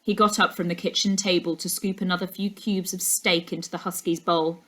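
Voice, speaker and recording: A woman's voice: lowish voice, fairly even intonation, brisk, fairly bright tone. A woman narrating a children's novel as a teacher reading to a class. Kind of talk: storybook narration.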